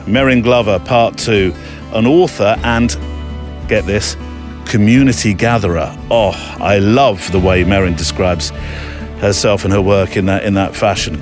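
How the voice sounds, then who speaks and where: A man hosting a podcast in a casual, rambling, enthusiastic and self-deprecating way. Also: fairly low pitch. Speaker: podcast host. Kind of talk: casual chatty podcast presenting.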